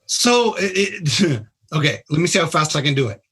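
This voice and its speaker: A higher-pitched man with a husky edge to his voice talks bluntly as a radio guest. He explains with punchy, emphatic sentences in a casual way. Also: sing-song, brisk, a bit bright. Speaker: trauma surgeon guest.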